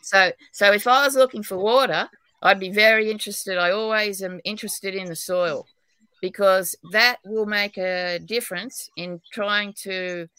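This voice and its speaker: A woman's voice: medium pitch, fairly even intonation, normal speed, pushed and bright, clean tone, dry recording. An Australian woman from New South Wales, a psychic and dowser, chatting in a rambling, talkative way and laughing often. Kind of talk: casual podcast chat.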